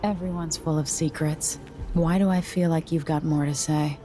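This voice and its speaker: Proud young empress, played by a woman, game drama: deep for a woman, controlled, wounded, resolute. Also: fairly even intonation, normal speed, dark tone, faint music behind, lightly acted. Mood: probing. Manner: clipped, controlled. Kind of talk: video game dramatic dialogue.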